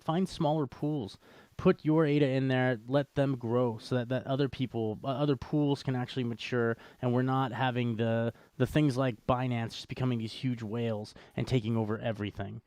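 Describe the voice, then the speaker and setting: A man's voice: mid-range voice, darkish tone, dry recording. A man co-hosting a casual unscripted talk show, rambling, repeating phrases for emphasis and slipping in dry jokes. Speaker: co-host of a crypto show. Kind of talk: casual unscripted chat about cryptocurrency.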